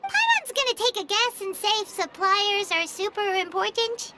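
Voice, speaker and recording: A woman voicing a tiny, childlike, eager fairy-like female companion in a video game, perky and squeaky. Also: sing-song, normal speed, very noisy. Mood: cheerful, curious. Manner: perky, squeaky, talks of self in third person.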